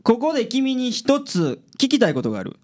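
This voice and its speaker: A woman's voice: fairly high pitch, huge pitch swings, a little fast, darkish tone. A woman playing a cool, dry-witted male private detective with a Kansai accent in a radio drama. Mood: serious, deductive.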